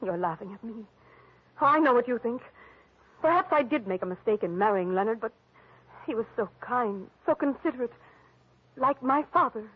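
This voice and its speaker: A woman's voice: fairly high pitch, lively intonation, normal speed, long pauses, breathy edge. An actress playing an evasive, pleading married woman with dramatic delivery in a 1940s radio drama. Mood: earnest, wounded. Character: pleading, evasive, melodramatic wife of a rich man. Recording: muffled, phone-like old radio recording.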